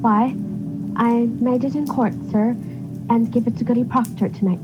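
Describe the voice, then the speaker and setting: Woman acting high-voiced meek servant girl. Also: fairly even intonation, moderate pace, highs cut off, over music, lightly acted. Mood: timid. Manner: deferential, hesitant. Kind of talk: radio play acting.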